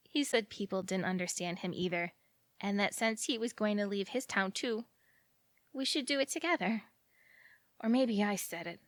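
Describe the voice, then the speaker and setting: A woman's voice: medium pitch, a bit bright, no room sound, lightly acted. Dreamy, talkative young small-town woman played by an actress. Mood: excited, romantic. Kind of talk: naturalistic audio drama dialogue.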